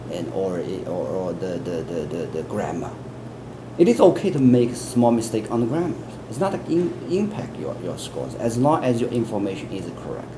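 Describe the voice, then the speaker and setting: A man with a Chinese accent giving interview answers as an English test expert, earnest, often repeating words and restarting. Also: on the low side. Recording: air conditioning hum in background.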